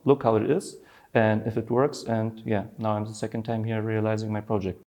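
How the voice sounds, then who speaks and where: A man in his thirties with a German accent, a podcast guest talking casually in non-native English, with many pauses and fillers. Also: on the low side, little pitch movement, a little mellow. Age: 30s. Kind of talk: casual conversation.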